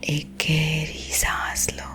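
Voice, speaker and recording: A woman with an Indian accent guiding sleep hypnosis in a husky, breathy ASMR whisper, gentle and soothing. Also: sits low, monotone. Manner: slow, soothing, gentle, hushed. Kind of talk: whispered ASMR guided visualisation. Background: soothing music.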